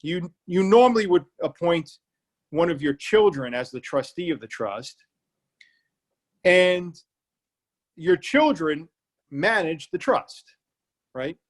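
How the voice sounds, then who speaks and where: A man's voice: a little high, very animated, takes it slowly, long pauses. A man with an Irish accent, an elder law attorney giving a seminar talk, plain-spoken and repeating key points so listeners remember them. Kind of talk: public library seminar talk. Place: remote online presentation.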